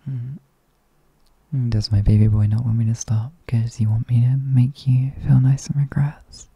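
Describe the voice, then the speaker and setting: A man voicing an affectionate, teasing partner in an intimate roleplay, speaking softly and often in a whisper. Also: medium pitch, slow, vocal fry, husky, no room sound, muffled recording, exaggerated voice. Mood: teasing, doting. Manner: soft, often whispered, coaxing, chuckling. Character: affectionate teasing male partner, doting, playful. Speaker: audio roleplay performer.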